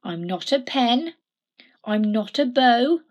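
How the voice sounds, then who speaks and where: A woman's voice: a little high, lively intonation, takes it slowly, a little mellow, dull audio. A woman voicing a small, proud male stick figure in a storybook, with a sing-song, emphatic delivery. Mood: insistent.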